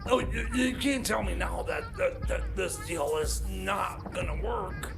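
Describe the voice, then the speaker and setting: Radio comedy role: actor as a flustered male deal-maker, very high creaky voice, halting and repetitive, music underneath. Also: lively intonation, full character voice. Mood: pleading. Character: flustered, pleading man juggling a difficult business phone call. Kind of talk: improvised comedy radio sketch.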